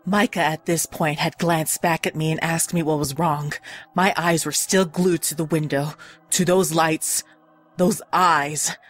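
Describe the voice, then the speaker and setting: Low-voiced woman reading horror, over music. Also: fairly even intonation. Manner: suspenseful, with deliberate pauses for emphasis. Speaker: horror story reader. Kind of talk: dramatic scripted narration of a first-person horror story. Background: background music.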